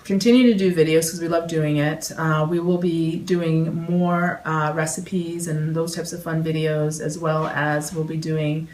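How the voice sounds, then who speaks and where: A woman's voice: deep voice, steady tone, a little slow, few pauses, darkish tone. A woman with a Canadian accent hosting a home cooking vlog, talking chattily with plenty of fillers. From Toronto. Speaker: vlog host. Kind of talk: casual announcement. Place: dining room at home. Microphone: camera across the table. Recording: roomy, distant, faint hiss.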